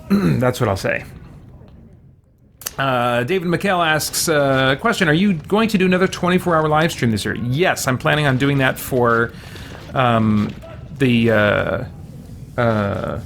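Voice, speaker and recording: A man's voice: medium pitch, slow, vocal fry, pauses often, fairly bright tone, a little hiss or hum. A man hosting a gaming stream, chatting casually and thinking aloud as he plays and answers viewers. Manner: thinking aloud, musing to himself. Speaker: host of a gaming let's play stream. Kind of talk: casual live gameplay commentary, answering viewer questions. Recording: game music behind the voice. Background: video game music.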